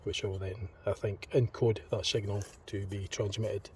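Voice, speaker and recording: Distant, noisy recording of a halting man. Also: lowish voice, level tone, a little mellow. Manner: hesitant, halting, tentative. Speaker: hobbyist demonstrator in a homemade teardown video. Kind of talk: unscripted hands-on commentary, thinking aloud. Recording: distant mic, clearly noisy homemade recording.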